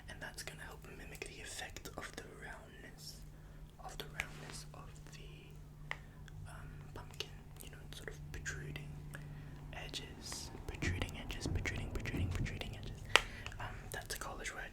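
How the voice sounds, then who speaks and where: A man doing an ASMR roleplay in a breathy whisper, speaking gently and reassuringly like a big brother, close to the microphone. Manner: gentle, reassuring big-brother patter, repeating words softly.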